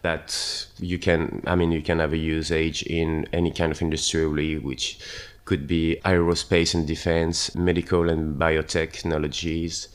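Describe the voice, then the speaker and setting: Very deep-voiced man. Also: flat delivery, measured pace. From France. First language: French. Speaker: international sales worker. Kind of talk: interview answers.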